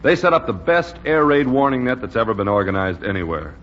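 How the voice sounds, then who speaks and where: An actor playing a firm, patient American squadron commander, plainspoken, in a 1940s radio drama style. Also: male voice, mid-range voice, sing-song, fairly quick, natural voice. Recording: muffled, noisy vintage radio recording.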